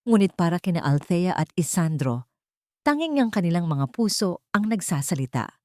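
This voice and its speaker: A woman narrates a romantic drama as a scripted story. Her voice sits a little low, solemn and dramatic, recorded close and dry. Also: sing-song, normal speed, darkish tone, no echo.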